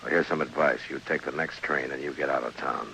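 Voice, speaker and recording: Stern American western marshal, very low forceful voice, terse authority, old radio drama, faint hiss. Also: male voice, fairly even intonation, a little fast, creaky, full character voice, low-quality recording. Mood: stern, warning. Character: stern, steady frontier US marshal.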